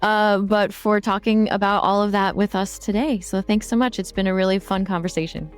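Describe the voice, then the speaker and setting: A woman's voice: medium pitch, fairly even intonation, a little fast, clear, resonant tone, no echo. A woman hosting a podcast interview in a conversational style. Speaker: podcast host.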